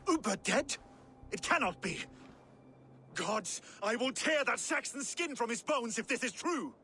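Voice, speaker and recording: Casting: hot-tempered Viking warlord, high-pitched, bright forceful voice, menacing bluster. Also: male voice, expressive, a little slow, slight background noise, heavily acted. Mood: enraged. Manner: blustering, menacing, oath-swearing. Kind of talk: dramatic video game acting.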